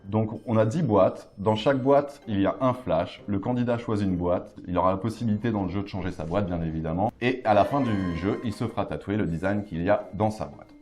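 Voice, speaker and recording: A man's voice: fairly low pitch, fairly quick, few pauses. A man hosting a casual tattoo game show, joking and laughing often as he talks. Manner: jokey, laughs often, relaxed.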